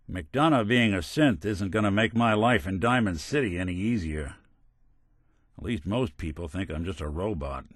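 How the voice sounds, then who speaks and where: World-weary male android private eye, video game. Deep, expressive, sardonic noir delivery, close dry sound. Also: no echo, heavily acted. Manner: wry, dry, sardonic, old-time tough-guy phrasing. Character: world-weary wisecracking male synth robot detective, middle-aged. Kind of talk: scripted game companion dialogue, noir detective.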